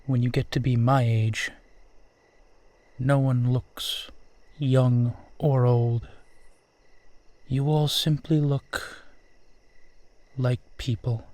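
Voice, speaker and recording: A man playing a suave, centuries-old vampire in a roleplay audio drama, speaking formally, with old-fashioned courtesy and gentle teasing. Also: medium pitch, little pitch movement, very slow, pauses often, no room sound. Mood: smooth, amused. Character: suave, courtly centuries-old male vampire who looks twenty-eight.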